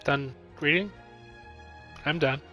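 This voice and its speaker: A man's voice: fairly high pitch, slow, sharp tone, soft background music. A man giving casual commentary as he streams a video game.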